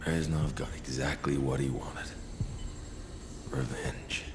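Very deep, brooding haunted operative. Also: male voice, fairly even intonation, takes it slowly, noisy background. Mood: grim, bitter. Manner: brooding, muttered, intense. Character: haunted, brooding male American operative under interrogation. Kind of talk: dramatic video game narration. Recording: loud music over the voice.

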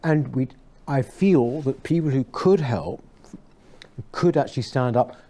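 A middle-aged man on a studio panel, speaking earnestly and emphatically with halting restarts. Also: medium pitch, mellow. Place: small studio set with armchairs. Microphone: lapel mic.

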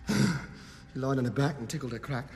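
An actor playing a terrified young English captive with an English accent, stammering pleas and forcing out jokes. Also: male voice, medium pitch, animated, measured pace, creaky, darkish tone, a little hiss or hum. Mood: desperate bravado. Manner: pleading, stammering, then forced jokey bravado. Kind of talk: film drama acting. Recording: reverberant hall with film score.

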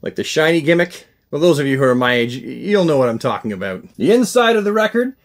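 A middle-aged man hosting a casual, enthusiastic unboxing vlog, talking in an animated, exclaiming way. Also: a little high, quick pace. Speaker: unboxing review host. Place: small indoor room lined with shelves of collectibles.

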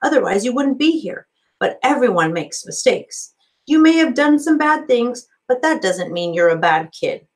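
A woman's voice: a little high, sing-song, moderate pace, voice pushed up. A woman reading the part of an earnest, encouraging male camp counselor in a storybook, with emphatic delivery.

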